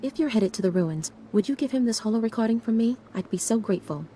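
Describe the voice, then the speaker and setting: A woman's voice: a little high, animated, quick pace, warm tone, slight background noise, lightly acted. Woman acting an eager, chatty young Jedi novice. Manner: chatty, informal. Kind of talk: scripted video game acting.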